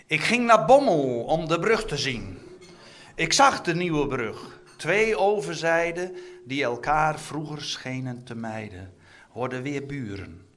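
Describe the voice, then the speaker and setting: Theatrical, high-pitched man reciting poetry. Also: animated, takes it slowly, a bit bright. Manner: theatrical, declamatory. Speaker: poetry performer and quizmaster. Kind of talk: dramatic live poetry recitation and quiz.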